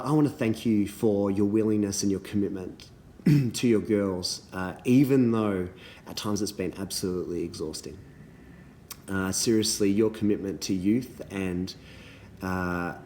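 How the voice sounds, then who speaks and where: A man, a little hoarse from losing his voice, giving earnest thank-you messages to youth leaders with many ums and restarts. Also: deep voice, darkish tone, a little hiss or hum.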